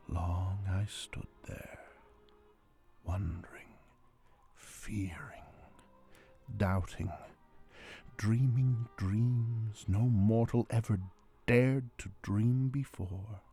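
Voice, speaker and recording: A man giving a theatrical dramatic recitation of a gothic poem, moving between hushed tones and loud outbursts, over a string quartet score. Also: fairly low pitch, fairly even intonation, very slow, long pauses, breathy edge, soft background music. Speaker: amateur reader of poetry. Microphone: close handheld portable recorder.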